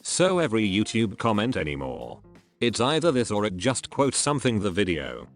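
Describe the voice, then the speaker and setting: Low-voiced man reading posts aloud. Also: huge pitch swings, moderate pace, soft background music. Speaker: narrator reading online posts. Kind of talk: scripted narration.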